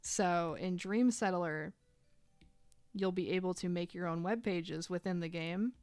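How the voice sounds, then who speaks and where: A woman's voice: lowish voice, measured pace, darkish tone, smooth, dry recording, studio quality, soft background music. A woman in her thirties chatting casually while drawing on a live stream, rambling and filling gaps with "like". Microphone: desk microphone.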